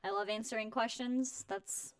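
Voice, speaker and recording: Woman, twenties, effusive high-energy interview guest, informal, quick to laugh, dry recording. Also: fairly even intonation, clean tone, no echo. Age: 20s. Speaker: interview guest, home warranty company representative. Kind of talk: casual chat.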